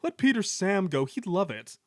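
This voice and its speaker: A man's voice: high voice, sing-song, normal speed, darkish tone, no echo, studio quality, voice pushed up. A man voicing a conceited male steam engine in a children's story, with pompous, sulky complaining.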